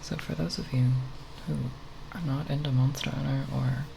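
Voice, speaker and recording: Soft asmr voice, super creaky n breathy, slow hesitant rambling, close mic w loud hiss. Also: mid-range voice, fairly even intonation. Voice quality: soft, breathy, partly whispered. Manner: hesitant, halting, with long pauses. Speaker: ASMR video creator. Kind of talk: ASMR, unscripted nerdy ramble.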